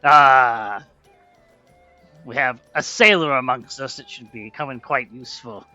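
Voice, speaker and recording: A man's voice: mid-range voice, unhurried, bright, forceful tone, lo-fi sound, soft background music. A man narrating as game master of a tabletop game, laid-back and chatty with frequent laughs.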